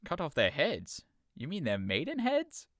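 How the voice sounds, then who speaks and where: A man's voice: fairly high pitch, huge pitch swings, a little slow, a bit bright, no room sound, studio quality, full character voice. Gregory, a man playing a mocking young servant. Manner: teasing, mocking. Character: mocking sharp-tongued young male servant. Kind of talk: audio drama acting.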